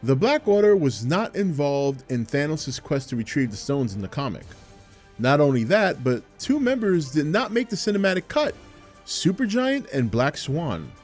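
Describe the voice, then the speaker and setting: A man's voice: a little high, big pitch swings, moderate pace, a little mellow. A man hosting a video with scripted narration. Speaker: video host. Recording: music behind the voice. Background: music.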